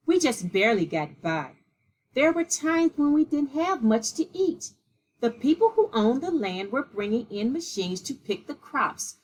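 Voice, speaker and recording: A woman voicing someone plainly recalling a poor rural childhood in a storybook, reflective and slightly husky. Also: a little high, full character voice.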